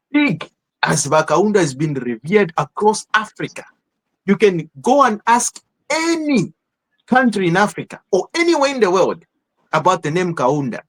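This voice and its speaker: Zambian guy hosting a podcast argument, high voice swinging all over, keeps going no no no. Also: poor audio. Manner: animated, repeats words and mixes in local language phrases. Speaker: podcast host moderating a panel. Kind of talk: heated panel debate.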